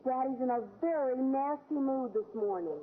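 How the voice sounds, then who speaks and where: A high, girlish voice on a tinny vintage radio recording, an eager teenage schoolgirl. She chatters earnestly and confidingly in old sitcom style. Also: animated, normal speed. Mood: chatty, confiding. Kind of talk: 1940s-50s radio sitcom acting.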